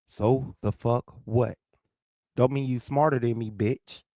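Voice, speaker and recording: A man with a noticeable lisp and a St. Louis accent rants on his livestream, answering chat comments in a confrontational, profane way. His voice sits mid-range with a gravelly edge. The sound is dry and close, with no room echo. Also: mid-range voice, steady tone, slow pace, mellow. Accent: St. Louis, American South Midwest. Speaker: livestream host.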